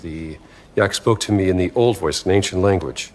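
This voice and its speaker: An actor with an American accent playing a gruff, wry 1930s adventurer-archaeologist in a game cutscene. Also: male voice, low voice, quick pace, a little mellow, slight background noise, natural voice. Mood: measured storytelling. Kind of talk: cinematic game cutscene acting.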